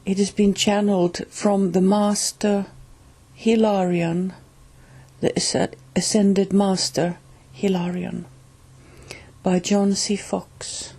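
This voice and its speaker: A woman with a Finnish accent, Finnish being her first language, reading aloud from a spiritual book in a careful, halting way and restarting on hard words. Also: medium pitch, flat delivery, mellow, breathy edge.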